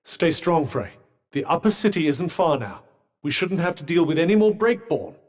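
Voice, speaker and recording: A man voicing a sardonic talking magic bracelet in a game, wry and teasing yet steady. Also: a little high, normal speed. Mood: urgent. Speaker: video game companion character. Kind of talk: game voice lines.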